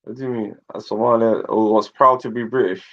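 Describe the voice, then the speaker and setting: A man's voice: fairly low pitch, steady tone, fairly quick, a bit bright. A man hosting a casual online discussion, pushy and teasing, mixing in Somali and Arabic words. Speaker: host. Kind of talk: casual chat. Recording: dull lo-fi online call audio.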